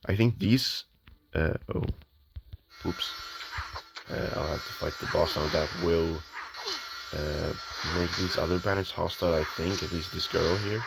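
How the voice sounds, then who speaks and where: A man's voice: low voice, unhurried, creaky. A man thinking aloud while he plays an online game, hesitant and full of ums, his voice a little off from the early morning. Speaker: gamer. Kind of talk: casual gameplay commentary. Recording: noisy home recording with clicks.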